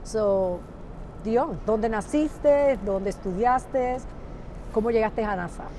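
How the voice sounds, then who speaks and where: A woman's voice: a little high, takes it slowly, dark tone, slight background noise, rough recording. A woman with a Puerto Rican Spanish accent who moderates a roundtable, asks questions and mixes in a few English words. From Puerto Rico. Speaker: center director, moderator. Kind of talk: roundtable conversation.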